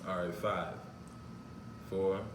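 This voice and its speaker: A man in casual pre-show talk, counting down slowly. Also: level tone. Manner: slow, drawn-out countdown. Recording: roomy room with loud rumble.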